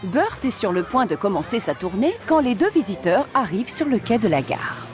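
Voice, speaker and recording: A woman's voice: mid-range voice, big pitch swings, moderate pace. A woman narrating a scripted children's cartoon story. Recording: music playing behind.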